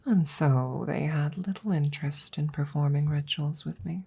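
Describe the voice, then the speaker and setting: A witch, played by a woman, speaks softly and intimately to her familiar in an ASMR audio roleplay. Her voice is low and breathy with a creaky edge. She is wry, affectionate and gently teasing, often dropping toward a whisper. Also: steady tone, heavily acted. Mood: confiding, wry. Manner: unhurried, gently teasing, with soft chuckles.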